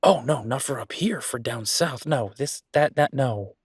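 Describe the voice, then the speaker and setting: A man playing an easygoing, affectionate restaurant owner in a roleplay audio drama, chatting casually as if thinking out loud to his partner. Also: medium pitch, lively intonation, unhurried, breathy edge, dry recording, clean studio sound. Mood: musing, persuasive. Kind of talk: boyfriend roleplay audio drama, one-sided conversation.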